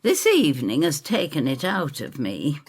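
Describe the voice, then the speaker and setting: A woman's voice: low voice, huge pitch swings, normal speed, breathy edge, dry recording. An older woman narrating a scripted story in the first person, with dramatic delivery.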